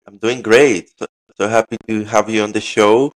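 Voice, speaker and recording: A man hosting a casual conversational interview, speaking a little haltingly and repeating phrases. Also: on the low side, little pitch movement, poor audio. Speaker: interview host.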